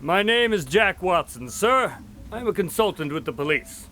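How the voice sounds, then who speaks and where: An actor in a radio drama playing a polite, inquisitive police consultant, courteous and measured in his questions and narration. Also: sits high, very animated, bright, forceful tone, a little hiss or hum, full character voice. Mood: politely puzzled.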